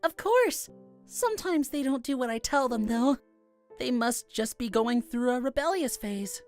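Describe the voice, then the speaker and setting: A woman voicing a shy, gentle young male forest dweller in a visual novel, speaking in a halting, earnest way. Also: on the high side, animated, soft background music. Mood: cheerful. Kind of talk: visual novel character acting.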